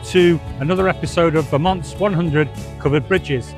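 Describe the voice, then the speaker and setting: A man's voice: fairly high pitch, normal speed. An English man in his sixties presenting his own video show in a chatty, inviting tone. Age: 60s. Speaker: presenter of his own covered bridge series. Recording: distant voice over loud background music.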